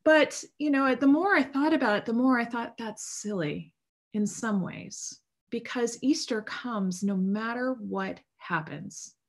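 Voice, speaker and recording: A woman in her thirties, a priest, gives a sermon from a room at home over a video call. Her voice sits a little high, and she talks in a loose, earnest way, with fillers and self-corrections. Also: a little slow. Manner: conversational, earnest, with frequent fillers and restarts. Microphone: video call webcam.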